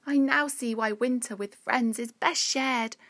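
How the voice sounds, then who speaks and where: Woman voicing an excitable high-pitched little boy squirrel. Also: measured pace, no room sound. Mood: grateful. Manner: eager, polite, childlike. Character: brave, curious, excitable little male squirrel.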